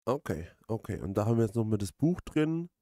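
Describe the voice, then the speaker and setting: A man giving casual let's play commentary, haltingly thinking aloud and repeating his words. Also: on the low side, sing-song, mellow, dry recording, studio quality. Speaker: let's player. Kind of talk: casual, rambling game commentary.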